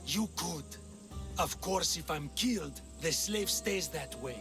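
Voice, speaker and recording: An actor playing a cruel slave master and cult villain in a video game, sneering and sarcastic. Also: male voice, on the high side, takes it slowly. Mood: smug, taunting. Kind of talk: video game dialogue acting. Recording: loud music behind the voice.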